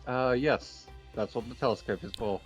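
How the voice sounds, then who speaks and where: A man's voice: medium pitch, moderate pace, husky, lightly acted. A man playing a dry, touchy teenage birdwatcher in an improvised game roleplay, giving curt replies. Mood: dry, irritated. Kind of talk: improvised Minecraft anime roleplay. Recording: dull lo-fi mic with music. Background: music.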